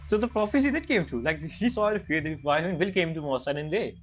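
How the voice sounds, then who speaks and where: Highish-voiced casual male game streamer. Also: expressive, quick pace, slight background noise. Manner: slangy, informal. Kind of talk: casual let's play commentary. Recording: slightly dull, game music underneath.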